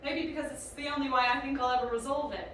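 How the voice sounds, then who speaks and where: A high-pitched young woman acted by a woman in an audio drama, an anguished clone convinced of her own humanity. The recording is heavily reverberant, with the voice set back from the mic and clear noise underneath. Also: fairly even intonation, quick pace, a bit bright. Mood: somber narration. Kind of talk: audio drama acting and first-person narration. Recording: very echoey, distant mic, noisy.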